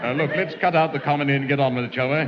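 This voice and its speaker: A man playing a brisk, coaxing dentist in a 1960s radio comedy. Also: medium pitch, fairly even intonation, a loud crowd, heavy background noise, close to an everyday voice. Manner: brisk, coaxing bedside manner. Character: fussy brisk dentist, middle-aged man. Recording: dull old radio recording with reverb.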